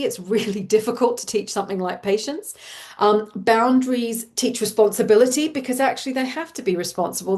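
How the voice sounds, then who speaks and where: A woman in her forties with an Australian accent, a parenting coach giving an online workshop in a warm, reassuring, chatty way with fillers. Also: mid-range voice.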